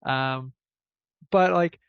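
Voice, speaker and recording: Gravelly man casually telling a story. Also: medium pitch, drawn-out words, no room sound. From Ukraine. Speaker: program participant telling his story. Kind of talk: casual personal storytelling on a group call. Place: video call. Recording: dull, close, dry video-call audio.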